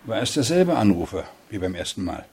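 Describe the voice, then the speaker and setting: Low gravelly cop, sober and probing, radio crime play. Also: male voice, sing-song, fairly quick, darkish tone, a little hiss or hum. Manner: matter-of-fact, probing. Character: sober, probing police detective on a murder case. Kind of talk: German radio crime drama acting.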